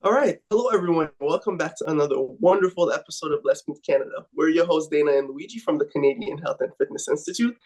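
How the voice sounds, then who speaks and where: A man's voice: fairly high pitch, expressive, fast talker, few pauses, darkish tone. A man hosting a podcast, reading an upbeat scripted introduction. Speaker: podcast host.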